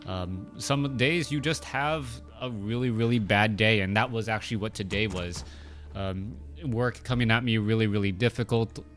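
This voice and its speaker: Soft-spoken man casting a strategy game. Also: on the low side, normal speed, soft background music. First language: Cantonese. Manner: hesitant, many fillers and restarts. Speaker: game caster. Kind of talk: casual play-by-play commentary.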